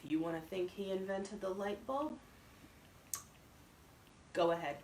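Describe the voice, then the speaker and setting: A woman voicing an aloof male stranger in a storybook, speaking evenly and crisply with a dry deadpan. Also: mid-range voice, measured pace, voice pushed up, lightly acted. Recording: roomy echo, distant microphone.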